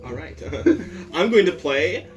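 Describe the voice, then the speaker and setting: Higher-voiced, lively man playing a tabletop game. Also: takes it slowly. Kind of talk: casual joking game chat. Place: room, in-person game session. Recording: distant mic under ambient music. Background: ambient music.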